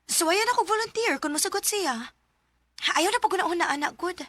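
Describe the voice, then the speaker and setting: An actress with a Cebuano Filipino accent playing a chatty, encouraging friend and fellow teacher in a radio drama. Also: female voice, very high voice, lively intonation, normal speed, fairly bright tone, dry recording. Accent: Filipino, Cebuano-speaking. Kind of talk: Philippine radio drama acting.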